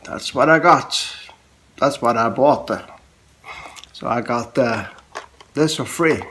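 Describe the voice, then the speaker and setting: A man in his forties with a cold, chatting casually in a low-key, halting way as he hosts a hobby unboxing vlog. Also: sharp tone. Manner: halting, low-key, short clipped phrases. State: has a cold. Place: small room at home, indoors, on a couch. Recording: clear background noise, handling clicks.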